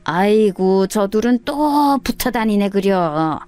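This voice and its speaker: A woman voicing a nosy, chummy older female cleaner in an animated, gossiping way for a story reading. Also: medium pitch, takes it slowly, smooth, soft background music. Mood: disapproving gossip. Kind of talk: dramatised radio story reading.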